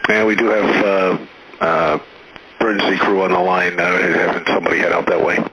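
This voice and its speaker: A man working as an air traffic controller, giving halting, procedural instructions over aviation radio. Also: low voice, level tone, pushed and bright, breathy edge, no room sound, poor audio. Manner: halting, procedural phrasing with frequent hesitations.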